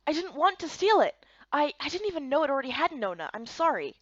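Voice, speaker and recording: A woman playing an earnest, chatty young heroine in a fantasy game, speaking in an expressive, exclaiming way. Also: sits high, quick pace, no room sound, muffled recording. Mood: earnest, defensive.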